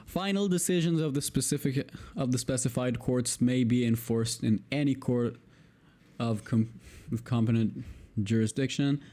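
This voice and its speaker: A man's voice: mid-range voice, mellow. A man with an Israeli accent, a native Hebrew speaker, reading a legal text aloud, tripping over long words and breaking off to swear in exasperation. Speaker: video creator.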